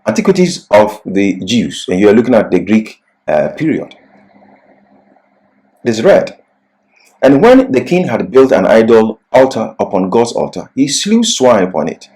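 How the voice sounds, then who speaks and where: A man giving an expository Bible sermon and reading scripture in an emphatic, deliberate, didactic manner. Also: lowish voice, a little mellow, rough recording. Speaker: Bible teacher.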